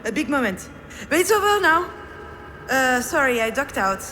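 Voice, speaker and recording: A woman's voice: high voice, sing-song, moderate pace, pushed and bright, a little hiss or hum, full character voice. An actress playing a frazzled, self-deprecating woman who narrates her inner monologue in a visual novel game, sardonic and confessional. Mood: flustered, apologetic. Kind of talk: visual novel voice acting, first-person narration.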